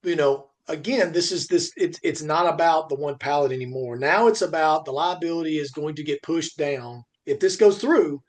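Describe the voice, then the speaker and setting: Higher-pitched man talking shop casually. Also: normal speed, few pauses. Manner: rambling, emphatic with repetition. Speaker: podcast co-host. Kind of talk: casual industry discussion.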